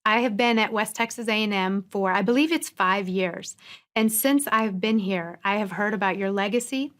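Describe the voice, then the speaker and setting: A woman's voice: mid-range voice, normal speed, fairly bright tone. A woman teacher interviewing a guest, speaking in an earnest, grateful and careful way, with small restarts as she frames her questions. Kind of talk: formal interview.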